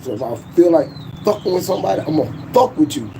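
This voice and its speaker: A man's voice: fairly high pitch, lively intonation, fast talker, creaky, noisy background. A man, hosting a casual livestream in a boastful, profane, laughing way. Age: 40s. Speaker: YouTube livestream host.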